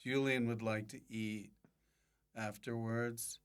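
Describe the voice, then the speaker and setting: Male host, average pitch, loose conversational interviewing for a comedy podcast. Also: level tone, slow, smooth. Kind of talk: casual comic interview chat.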